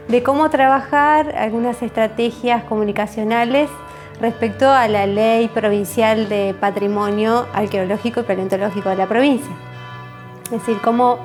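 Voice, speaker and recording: An Argentine woman giving explanatory interview testimony in a careful, articulate manner. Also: fairly high pitch. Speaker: museum communications staff member.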